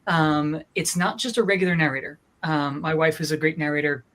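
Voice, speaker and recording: This is a voice actor and audiobook producer giving an informal presentation. The pitch sits in a middle range, and the delivery is conversational and enthusiastic. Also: fairly even intonation, fairly quick, a bit bright.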